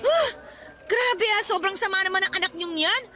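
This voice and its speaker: Protective, earnest younger female role for radio drama, high voice over soft music. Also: animated, moderate pace. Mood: indignant. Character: caring, protective younger woman, indignant surrogate daughter. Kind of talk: Tagalog radio drama acting.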